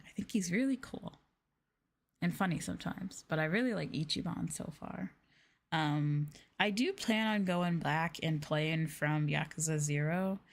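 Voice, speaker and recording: Girl streamer doing soft asmr talk, slightly low voice, kinda sleepy, just chatting w viewers. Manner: soft, gentle talking. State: sleepy, winding down. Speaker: virtual streamer hosting a live stream. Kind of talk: ASMR soft-spoken casual chat with viewers.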